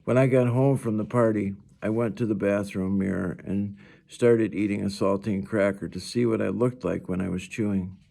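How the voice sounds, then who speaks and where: A man's voice: fairly low pitch, vocal fry, mellow. A man reading a children's novel aloud as a teacher would, narrating in the first person and sometimes repeating a phrase.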